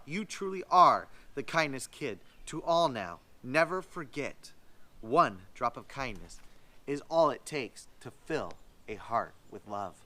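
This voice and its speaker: A man voicing a kindly female school principal in a storybook, gentle, earnest and deliberate. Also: a little high, full character voice.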